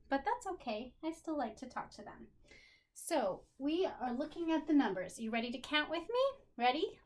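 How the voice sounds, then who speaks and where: Slow, high-voiced woman teaching young children. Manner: animated, encouraging, simple child-directed phrasing with questions. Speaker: special education teacher. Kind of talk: lesson for young children, talking directly to pupils.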